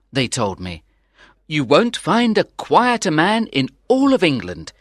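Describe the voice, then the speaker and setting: Man acting young French manservant, earnest, deferential, eager, lively swooping pitch, audiobook drama. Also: no echo, clean studio sound, full character voice.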